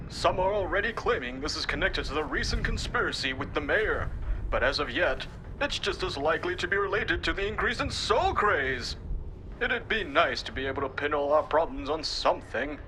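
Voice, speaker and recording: A man's voice: a little high, pushed and bright, a little hiss or hum, rough recording. A man playing a sensationalist street news reporter in a cyberpunk audio drama, theatrical, punchy and sardonic. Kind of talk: dramatic live news broadcast acting.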